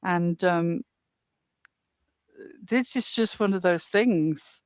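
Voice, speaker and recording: A woman with a Midlands English accent, defending herself in a panel argument, explaining her point at length in a measured way. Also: on the low side, clean tone, no echo. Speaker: panel guest. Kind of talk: heated panel discussion.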